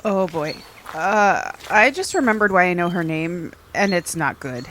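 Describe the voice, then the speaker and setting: A woman's voice: lowish voice, animated, a little slow, fairly bright tone, slight background noise. A woman playing a blunt, wary young friend with a wry, skeptical way of talking in an audio drama. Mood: wry dread. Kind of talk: acted audio drama dialogue.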